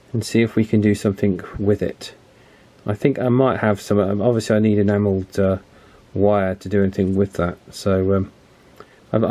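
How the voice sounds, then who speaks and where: A man's voice: on the low side, warm tone. A man casually narrating a walkie-talkie repair, thinking aloud with frequent fillers and restarts. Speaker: electronics repair YouTuber.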